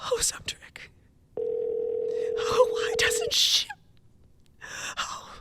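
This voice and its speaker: A woman's voice: very slow, heavy background noise. A woman playing an anxious, imperious, self-pitying invalid wife in a radio thriller, with dramatic gasps and a demanding manner. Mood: frantic despair.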